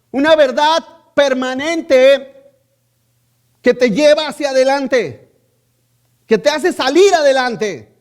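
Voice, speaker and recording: A man preaching in a strikingly high voice, pressing his point by repeating phrases. He keeps turning to the congregation with rhetorical questions as a pastor. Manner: emphatic, repeats key phrases. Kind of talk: sermon.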